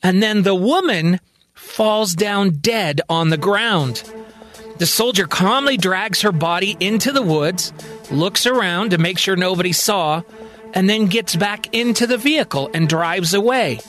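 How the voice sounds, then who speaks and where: Bright high-voiced male storyteller. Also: a little slow, faint music behind. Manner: calm, conversational storytelling. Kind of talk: spoken sci-fi story narration.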